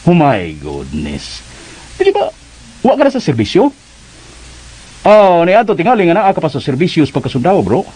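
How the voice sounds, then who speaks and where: A man's voice: a little high, huge pitch swings, darkish tone, breathy edge, full character voice. An actor playing a breezy, teasing, dismissive buddy in a radio drama, drawing out his words with English slang and a Filipino accent. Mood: amused, teasing. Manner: drawn-out, mixes in English slang.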